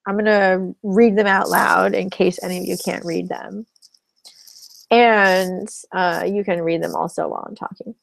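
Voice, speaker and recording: A woman's voice: mid-range voice, fairly even intonation, normal speed, creaky, a little hiss or hum, poor audio. A woman relationship coach teaching a live webinar in a chatty, explanatory way, laughing between points.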